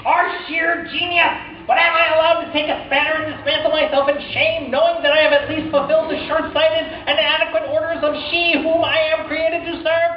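A melodramatic clockwork tailor machine wails about its wounded pride, voiced by a woman in an audio drama. The voice is high and theatrical. It sits back from the mic in a roomy, dull recording with faint hiss. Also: flat delivery, brisk, hardly any pauses, far from the mic, close to an everyday voice. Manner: theatrical, self-pitying, wailing.

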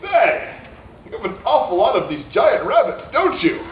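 A man playing a pompous, dim heroic gentleman adventurer in a comedic radio play, with a bombastic delivery. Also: very high-pitched, big pitch swings, moderate pace. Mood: indignant, bombastic. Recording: dull, roomy, distant, noisy recording.

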